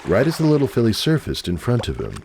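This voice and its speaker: A man narrating a story as a dramatic scripted reading, measured and expressive. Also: medium pitch, gravelly, noisy background.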